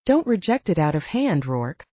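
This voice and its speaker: A woman's voice: low voice, big pitch swings, moderate pace, dark tone, no echo, highs cut off. A woman narrator voicing a protective, commanding male werewolf, an older brother, in an audiobook. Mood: insistent.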